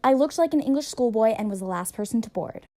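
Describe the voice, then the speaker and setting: A woman's voice: fairly high pitch, expressive, fast talker, music in the background. A young woman narrating her travel vlog in a wry, self-deprecating voice-over. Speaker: travel vlogger.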